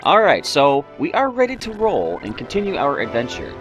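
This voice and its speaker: A man talks through a game playthrough with a slightly raspy voice and keeps stopping to clear his throat. His pitch rises and falls a lot as he rambles through side remarks. Faint music plays behind him. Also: wildly swooping pitch, normal speed, lo-fi sound. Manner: chatty, rambling asides. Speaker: let's play host. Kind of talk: casual game commentary.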